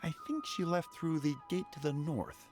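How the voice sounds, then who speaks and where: A man's voice: a little high, steady tone, normal speed, darkish tone, close to an everyday voice. A man playing a genial, concerned townsman in a video game. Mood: friendly, puzzled. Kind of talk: video game character voice acting. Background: music.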